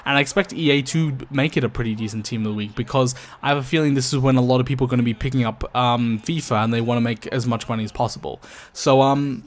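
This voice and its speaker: A young man talks viewers through his gameplay in a loose, rambling voice-over. His voice is worn and a little croaky from tiredness, and his speech is full of ums and asides to the guys watching. His pitch sits in the ordinary middle range for a man. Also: fairly even intonation, quick pace. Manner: chatty, addresses viewers as guys, many ums. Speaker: gaming YouTuber. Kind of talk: casual gameplay commentary.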